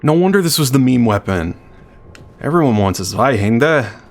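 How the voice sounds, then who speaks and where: A man with a deep voice, chatting casually and thinking out loud while he streams a video game, swearing freely. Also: expressive, normal speed, a little hiss or hum.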